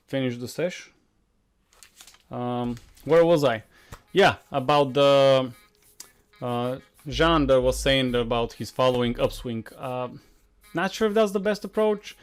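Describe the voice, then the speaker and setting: A man's voice: mid-range voice, clear, resonant tone. A Bulgarian man, a poker coach, answering viewer questions in a frank, casual way with many filler sounds; his first language is Bulgarian. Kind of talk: casual Q&A monologue.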